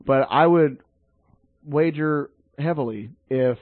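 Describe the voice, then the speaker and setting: A man hosting a local sports radio show, chatting casually about football and high school games and rallying the fans. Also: slow pace, no echo. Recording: dry, phone-like narrow sound.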